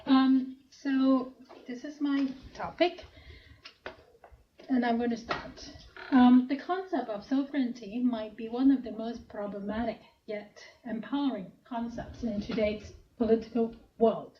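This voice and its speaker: Soft-spoken Hong Kong woman lecturing. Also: on the high side, fairly even intonation, a little slow, muffled recording, a little hiss or hum. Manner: soft-spoken, hesitant with fillers. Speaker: academic speaker. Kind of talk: academic lecture. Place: lecture room.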